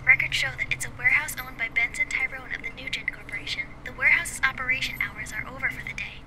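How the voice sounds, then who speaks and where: An actress playing a brisk, efficient police dispatcher in an audio drama, formal and businesslike. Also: female voice, high-pitched, fairly even intonation, close to an everyday voice. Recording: loud noise over a call.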